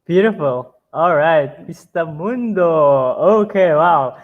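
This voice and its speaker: Enthusiastic male podcast host, higher voice. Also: animated, slow, phone-quality sound. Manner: enthusiastic, affirming, hesitant fillers. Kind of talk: casual interview chat.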